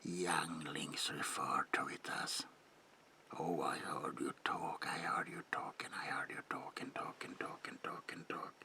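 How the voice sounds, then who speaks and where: A man voicing an eerie, reclusive old vampire in a breathy, gravelly whisper for an ASMR roleplay, murmuring old-fashioned phrases with lingering repetitions and polite menace. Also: very deep voice, expressive, takes it slowly, bright, forceful tone, full character voice. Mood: menacing, reproachful.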